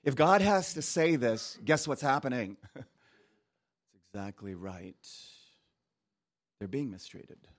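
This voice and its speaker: A man raised in Japan giving a guest sermon, reading scripture and telling his own story in an animated, storytelling way with asides. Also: mid-range voice, slow pace, long pauses. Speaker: guest speaker.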